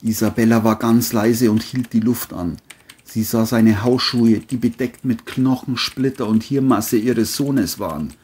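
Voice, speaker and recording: A man's voice: mid-range voice, a little slow, a little mellow, husky. A man narrating a scripted crime story as an audiobook-style reading.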